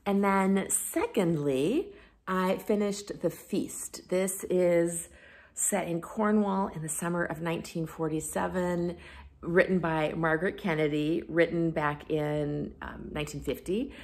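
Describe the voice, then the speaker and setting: A woman speaks in a voice pitched a little lower than usual. She talks casually, as a book reviewer addressing her viewers. Her manner is effusive and informal, with a self-deprecating streak. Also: unhurried, a little mellow. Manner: enthusiastic, effusive. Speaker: book reviewer and video host. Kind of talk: casual chat to camera about books.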